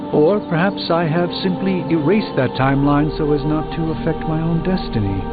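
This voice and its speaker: A man's voice: a little high, narrow, tinny audio, close to an everyday voice. An actor playing a proud, erudite, dryly witty male sorcerer in a video game, speaking in a formal, wordy way. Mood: measured, self-assured. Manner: formal, wordy, self-important. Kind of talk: video game character dialogue. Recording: thin band-limited audio under loud music. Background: game music.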